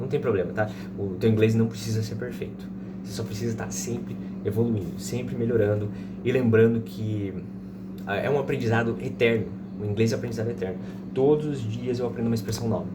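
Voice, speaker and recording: A man in his thirties with a Brazilian accent, teaching informally and didactically, repeating examples slowly and checking in with listeners. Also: lowish voice. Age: 30s. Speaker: English teacher. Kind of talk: informal explanatory language lesson with slow example sentences. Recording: distant mic, loud background noise.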